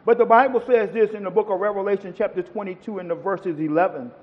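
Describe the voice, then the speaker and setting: A man's voice: high voice, fast, in a large hall, phone-quality sound. A man preaching a sermon to a congregation, quoting scripture with rising and falling emphasis. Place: church.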